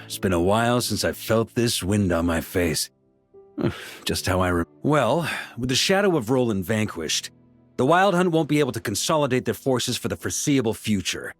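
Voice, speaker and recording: An actor playing a relaxed, good-humored grandmaster knight, speaking in an easygoing, wry way. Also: male voice, lowish voice, no echo. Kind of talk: game voice acting.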